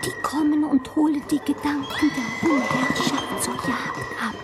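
A woman playing a polite tame female crow with formal, deliberate courtesy in a fairy-tale audio drama. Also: high voice, over loud music, a loud crowd. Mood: knowing, hushed.

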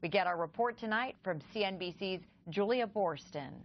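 A woman's voice: medium pitch, normal speed, lo-fi sound. A woman with an American accent presenting a scripted news introduction as a studio anchor.

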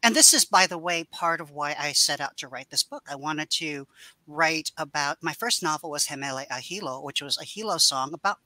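A woman's voice: low-pitched, animated, brisk, pushed and bright, no room sound, poor audio. A middle-aged woman author speaking in a home room over a video call, thoughtful and rambling with many fillers and restarts. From United States. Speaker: author guest. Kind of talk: casual video-call interview.

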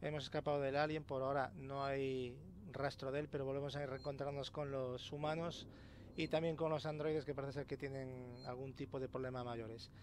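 A man's voice: mid-range voice, little pitch movement, normal speed. Spanish man commenting over noisy game ambience. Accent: Spain. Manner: sweary, colloquial. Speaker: gameplay host. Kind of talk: casual let's play commentary.

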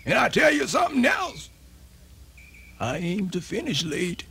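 A creaky old Southern storyteller. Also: male voice, sits high, big pitch swings, measured pace, noisy background, full character voice. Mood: folksy, amused. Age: older. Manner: rambling, repeats himself, folksy. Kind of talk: radio theatre monologue.

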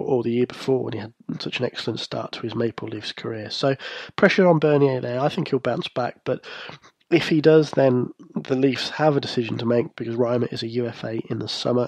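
A male podcast host talking through hockey news in a measured, analytical way, his voice snuffly and croaky from a cold. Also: mid-range voice, darkish tone, no room sound. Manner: measured, analytical, occasional fillers. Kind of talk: solo hockey news commentary.

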